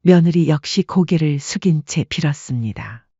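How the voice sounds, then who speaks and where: Brief: husky, mellow woman storyteller, calm delivery, dull lo-fi recording. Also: low-pitched, normal speed, no echo. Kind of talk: scripted audiobook narration.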